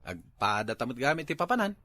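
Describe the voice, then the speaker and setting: A man's voice: mid-range voice, sing-song, fast, pushed and bright. A man with an Ilocano accent playing a mischievous older husband who likes drink and women, in an amateur comedy drama. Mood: sly, chummy. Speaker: actor in comedy skit.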